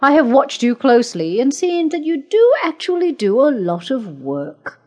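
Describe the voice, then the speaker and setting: A woman's voice: high voice, big pitch swings, moderate pace, a little mellow, pitched above the performer's own voice. A woman voicing a cold, domineering female assessor who speaks in a clipped, commanding way.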